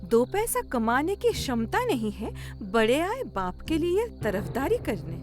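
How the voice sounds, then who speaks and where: A woman's voice: high-pitched, huge pitch swings, a little slow, over music, exaggerated voice. A woman voicing a cruel, greedy stepmother in a cartoon story, taunting and bossy. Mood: scornful. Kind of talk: Hindi cartoon moral-story villain acting.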